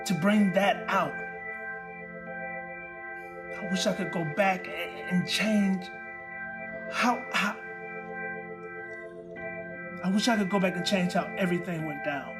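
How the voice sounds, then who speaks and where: An actor playing a tender, plainspoken young man giving a halting eulogy for a friend in an audio drama. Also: high voice. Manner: halting, searching for words. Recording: music under the voice. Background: music.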